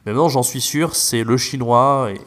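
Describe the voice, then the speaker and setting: A man's voice: mid-range voice, normal speed, a bit bright. A French man, a language teacher, talking casually as a podcast guest, rambling with many fillers and restarts.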